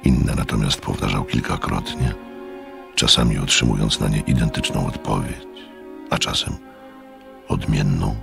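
Extremely deep, gravelly narrator. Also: male voice, music in the background, heavily acted. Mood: sober, measured. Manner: measured, sober. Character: composed gentleman narrator recalling a strange experiment. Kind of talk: scripted radio play narration.

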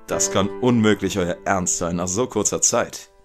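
A man's voice: deep voice, normal speed, music in the background, lightly acted. A man playing a stern, threatening Italian mafia don and contemptuous father in an audio drama. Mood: cool disapproval.

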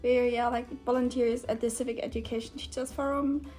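A young German woman of eighteen, chatting in English with a German accent and leaning on "like" and "yeah". Her voice sits a little high but barely rises or falls, mellow and soft in tone. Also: brisk, faint music behind. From northern Germany. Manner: relaxed, many fillers like "like" and "yeah". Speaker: guest volunteer. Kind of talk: casual chat on camera. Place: indoor room with shelves.

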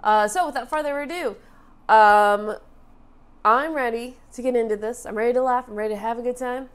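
A young woman with a stuffy, nasal voice from allergies, chatting casually and excitedly to camera as a reaction channel host in a small room. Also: a little high, a little fast, smooth. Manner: chatty, lots of fillers, excitable. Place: small bright room indoors.

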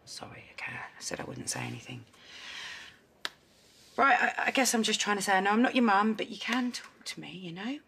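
An actress in her thirties with a London accent, playing a blunt, protective, quick-tempered woman caring for a girl. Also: medium pitch, huge pitch swings, gravelly, slight background noise, exaggerated voice. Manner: blunt, sharp-tongued, coaxing when gentle. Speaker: stepmother figure. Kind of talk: soap opera acting. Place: home living room and street.

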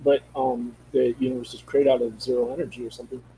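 A man's voice: medium pitch, little pitch movement, quick pace, dark tone, slight background noise, low-quality recording. A middle-aged man with a Minnesota accent who rambles and restarts as he talks over a video call from a room at home. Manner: rambling, searching for words, many restarts. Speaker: guest. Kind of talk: casual conversation. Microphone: studio mic on video call.

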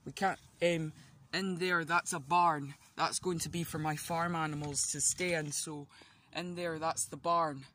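A cheerful, boastful toy plumber rambles on about his new farm, as a young man voices him. The voice sits a little high, with a faint hiss behind it. It is recorded outdoors in a back garden on a handheld phone. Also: steady tone, unhurried, pushed and bright. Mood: chatty. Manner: rambling, chatty, show-and-tell. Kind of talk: toy play video narration. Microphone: phone held by the filmer.

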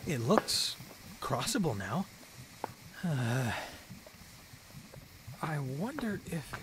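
A man's voice: fairly high pitch, background noise, exaggerated voice. A man playing a young, anxious but determined adventuring hero in a fantasy audio drama, muttering to himself and exclaiming. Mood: wary, musing. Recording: roomy echo, loud musical score behind. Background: orchestral fantasy game-style music.

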